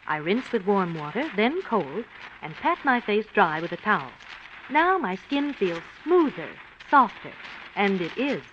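An actress playing a glamorous film star giving beauty advice in a 1940s radio commercial, breezy and confiding. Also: female voice, mid-range voice, very animated, measured pace. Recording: dull 1940s radio broadcast with hiss.

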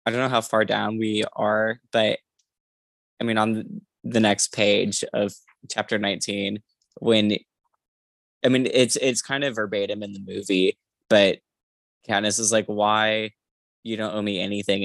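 A man's voice: lowish voice, little pitch movement, a little slow, creaky, a bit bright, dry recording. A man co-hosting a podcast, chatting casually about a book in a rambling, hesitant way full of filler words. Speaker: podcast co-host. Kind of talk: casual book discussion chat.

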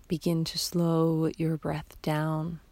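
A woman guiding a sleep meditation in a soft, half-whispered, soothing voice, leaving long pauses between short phrases. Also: low voice, monotone, no echo. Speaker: meditation guide.

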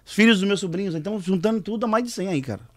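A man's voice: sits high, rapid-fire. A man from São Paulo, a musician guest telling family stories in casual chat. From São Paulo, Brazil. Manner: laughs easily, rambling anecdotes. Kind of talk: casual bar-table chat.

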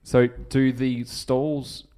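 A man's voice: medium pitch, flat delivery, drawn-out words, mellow, smooth. A man interviewing a guest in casual chat, eager and full of follow-up questions.

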